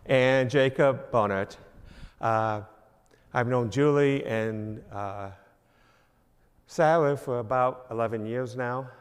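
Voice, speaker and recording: A man's voice: medium pitch, slow pace, pauses often, clean tone. Older guy w/ new york accent, rambly preacher, lots of uhs, big echoey church hall. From New York, Long Island. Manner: rambling, filler-heavy, self-deprecating. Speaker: guest speaker, minister. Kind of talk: informal church address. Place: church sanctuary. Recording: long reverb of a church hall.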